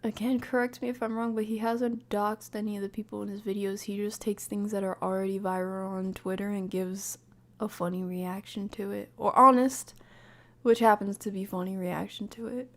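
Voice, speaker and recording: A woman hosting a livestream, giving casual, reflective commentary and talking with her chat in a conversational, measured way. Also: medium pitch, steady tone, fairly bright tone. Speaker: streamer, host.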